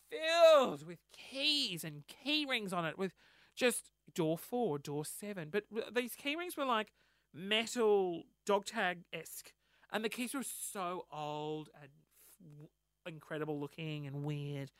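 A man with an Australian accent, hoarse from losing his voice, telling a rambling, sweary, self-mocking story on his solo podcast. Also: sits high, animated, takes it slowly, fairly bright tone, dry recording, clean studio sound. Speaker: podcast host.

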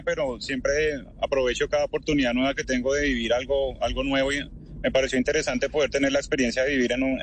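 A Colombian man in his thirties talking by phone from a moving car, measured and thoughtful, with lots of fillers. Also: medium pitch, slight background noise, poor audio. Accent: Colombian Spanish, lightly worn. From Colombia (Barranquilla). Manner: thoughtful, many fillers like "pues" and "o sea". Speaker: interviewee, translator in Ukraine. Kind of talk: phone interview while driving.

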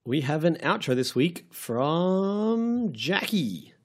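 A man's voice: a little high, big pitch swings, takes it slowly. An Australian man co-hosting a podcast, talking casually with a dry, teasing manner. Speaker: podcast co-host.

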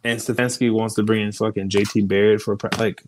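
A man co-hosting a sports podcast, talking in a relaxed, slangy way and calling his partner bro and dude. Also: lowish voice, fast talker, slight background noise. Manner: laid-back, slangy, says bro and dude. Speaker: podcast co-host. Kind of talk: casual sports chat.

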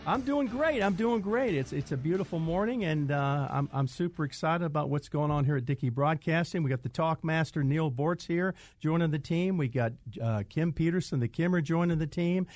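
A man's voice: on the high side, normal speed, hardly any pauses, darkish tone, no room sound, soft background music. An older man hosting a radio home show, talking casually and repeating himself as he goes. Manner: chatty, repeats words, stumbles.

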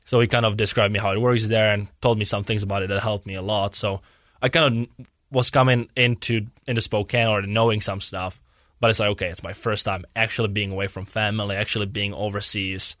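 A man's voice: fairly low pitch, level tone, quick pace, fairly bright tone, no room sound. Young man, English, earnest and rambling, dry close sound. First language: Czech. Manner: searches for words in a second language, rambling and earnest. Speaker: guest, hockey player. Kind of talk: casual interview.

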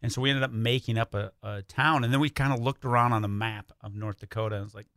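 A man's voice: fairly low pitch, steady tone, fast, a bit bright, dry recording. A man speaking casually and informally as a radio interview guest, telling stories in a studio. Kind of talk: casual conversational storytelling. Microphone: close studio microphone.

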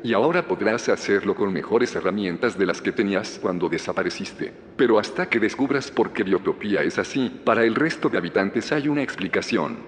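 An actor with a Spanish accent from Spain playing a calm, persuasive middle-aged founder in an audio drama. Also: male voice, lowish voice, fairly quick, hardly any pauses, slight background noise, natural voice. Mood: calm, assured. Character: calm, persuasive male founder of a secretive community. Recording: long reverb with music underneath.

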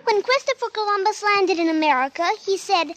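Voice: very high-pitched, lively intonation, fairly quick, heavy background noise. A performer playing an earnest schoolchild reciting history in a 1940s radio comedy. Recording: distant old 1940s radio recording.

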